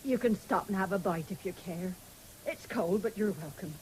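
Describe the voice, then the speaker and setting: A woman's voice: mid-range voice, moderate pace, a little mellow, natural voice, poor audio. An actress playing a proud, stubborn ageing farm woman in a radio drama, speaking in a brusque, defiant way. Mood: curt, guarded. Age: older. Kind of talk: 1970s British radio drama acting. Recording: faintly hissy old radio broadcast.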